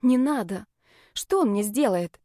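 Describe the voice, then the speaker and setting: A woman's voice: medium pitch, animated, unhurried, mellow, no echo, clean studio sound, natural voice. A woman voicing a feisty, impulsive young female witch, sarcastic and hot-headed, in an audiobook. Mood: defiant.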